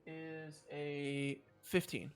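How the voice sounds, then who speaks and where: A man's voice: on the high side, completely flat delivery, very slow, faint music behind. A man narrating a tabletop game as game master in a casual, loose, improvised way. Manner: casual, swearing.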